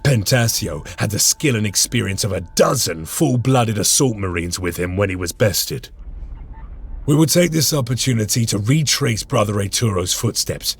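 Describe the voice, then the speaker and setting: A battle-worn space marine commander in a video game cutscene. His voice is low and rough-edged, with a husky grain. He speaks formally and solemnly, weighing his words with stern, vengeful gravity. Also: expressive, full character voice. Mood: grave, measured. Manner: formal, solemn, measured speech.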